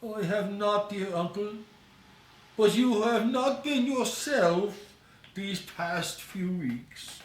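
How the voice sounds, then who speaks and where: Guy doing an earnest polite nephew from a storybook, affectionate, highish voice, echoey room. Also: slow pace. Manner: earnest, courteous, formal.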